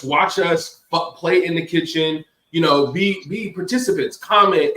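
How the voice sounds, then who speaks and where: Guy hosting a food podcast, kinda high voice, mic a bit far off. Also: a bit bright. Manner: joking, hypes upcoming shows. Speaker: podcast host. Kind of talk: casual podcast chat. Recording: roomy, distant mic.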